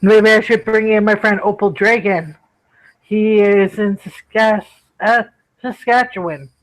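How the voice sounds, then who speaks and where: A woman's voice: medium pitch, measured pace, poor audio. A woman in her thirties chatting casually in an online group, self-mocking and easygoing. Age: 30s. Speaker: livestream chat participant.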